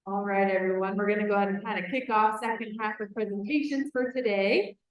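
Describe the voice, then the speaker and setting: A woman's voice: mid-range voice, steady tone, fairly quick. Woman emcee in an echoey hall. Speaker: event emcee. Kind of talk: introducing the next speaker. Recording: very echoey hall, voice far from mic.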